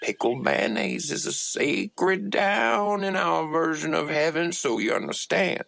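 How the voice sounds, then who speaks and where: A man's voice: fairly high pitch, expressive, a little slow, gravelly, fairly bright tone, full character voice. A man voicing a genial, eerie underworld creature who parodies a televangelist in a courteous, folksy manner. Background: faint music.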